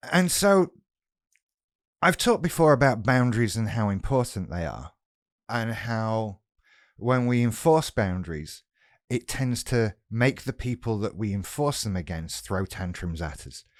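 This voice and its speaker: A British man with a head cold and a rough voice, talking frankly and bluntly in a casual monologue about mental health, with some swearing. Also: lowish voice, no echo, clean studio sound. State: has a cold, sounds rough.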